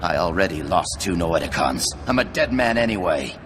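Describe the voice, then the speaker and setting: An actor playing a gruff, bitter male outlaw gang leader in a video game, with a gravelly voice. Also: low-pitched, animated, moderate pace, a bit bright, slight background noise. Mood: despairing. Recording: cavernous reverb, music behind.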